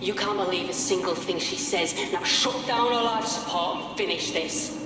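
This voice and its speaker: A woman's voice: on the low side, moderate pace, close to an everyday voice. A woman playing a bitter, defiant young hacktivist in a video game, blunt and scornful. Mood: contemptuous. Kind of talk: video game cutscene acting. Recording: very echoey hall, music behind.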